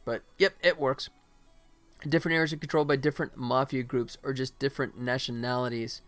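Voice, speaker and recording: A man's voice: medium pitch, steady tone, brisk, a bit bright. Man chatting casually on a livestream, music behind. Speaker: livestream host, game animator. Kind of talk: casual livestream chat while working, thinking aloud.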